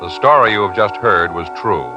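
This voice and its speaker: A man's voice: on the low side, expressive, normal speed, lo-fi sound, over loud music. A man announcing and reading an advertisement in an emphatic, punchy way. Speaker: radio announcer.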